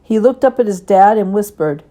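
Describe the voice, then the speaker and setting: A woman reading a children's story and gentle lesson expressively, as a Sunday school teacher does. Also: medium pitch, lively intonation, normal speed.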